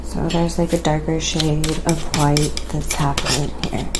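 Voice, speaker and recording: A woman hosting a casual ASMR painting livestream, chatting in an unhurried way and thinking aloud to her viewers. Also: low-pitched, flat delivery, very noisy. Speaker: live stream host and painter.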